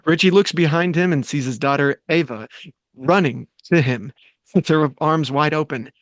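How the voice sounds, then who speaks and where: A man's voice: a little high, moderate pace, no echo. A man reading the stage directions aloud at a script table read, with the odd stumble and laugh. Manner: reads stage directions with self-corrections and laughs.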